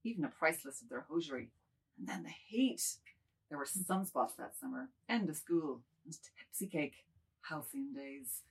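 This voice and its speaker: A woman plays a middle-aged man with a low, husky voice in a dramatic novel reading. He is apologetic and wistful, justifying himself in broken, musing phrases. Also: lively intonation, moderate pace, full character voice, poor audio. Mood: wistful confessing.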